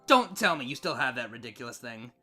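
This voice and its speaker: A woman's voice: rumbling low, very animated, quick pace, bright, forceful tone, soft background music, full character voice. A woman voicing a surly, cynical siren in a storybook reading, grumbling in a dismissive, needling way. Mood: mocking. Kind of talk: storybook fan fiction reading.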